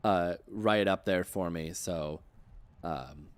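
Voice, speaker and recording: A man with a fairly low voice hosts a casual livestream interview. He speaks informally and loosely, wandering a little through his thoughts as he goes. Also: slow pace, clean tone. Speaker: interview host.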